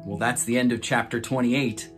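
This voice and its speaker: A reader narrating a children's book aloud in an animated, scripted style. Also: medium pitch, moderate pace, faint music behind. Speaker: storybook reader.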